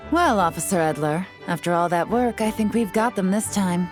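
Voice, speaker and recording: Woman playing a composed female spy, lowish voice, calm measured delivery, soft game music. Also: close to an everyday voice. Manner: calm, measured, dryly explanatory. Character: cool, composed female intelligence agent. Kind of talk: video game voice acting.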